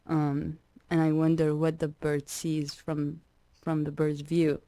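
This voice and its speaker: A young adult woman from Iraq, a musician guest in a radio interview, talking reflectively with many pauses and restarts. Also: deep voice, monotone, warm tone, no echo.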